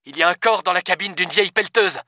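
A man's voice: sits high, quick pace, bright, forceful tone, dry recording, studio quality, pitched above the performer's own voice, full character voice. A man reading aloud, voicing a blunt, swearing male police officer in a raised voice. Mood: shaken. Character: gruff foul-mouthed male French cop.

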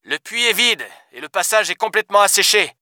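A man's voice: sits high, expressive, a little fast, pushed and bright, no echo, studio quality, pitched above the performer's own voice, exaggerated voice. A man reading aloud, voicing a blunt, swearing male police officer in a raised voice. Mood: gruff. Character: gruff foul-mouthed male French cop.

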